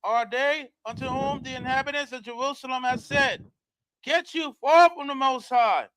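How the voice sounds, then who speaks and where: A man's voice: extremely high pitch, moderate pace, fairly bright tone, rough recording. A man reading scripture aloud for a study group, announcing the book and verse before he starts.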